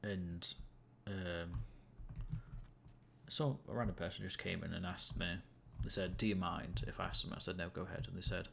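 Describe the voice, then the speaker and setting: A man's voice: low voice, steady tone, moderate pace, vocal fry, fairly bright tone, slight background noise. A young man chatting casually to stream viewers while gaming, rambling and amused at himself, with many fillers and restarts. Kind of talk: casual gameplay chat to viewers.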